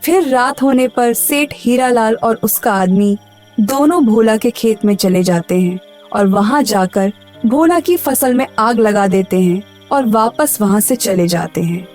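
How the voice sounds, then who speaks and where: Female storyteller, higher-pitched voice, scripted cartoon narration over light background music. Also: animated, a little slow, a little mellow.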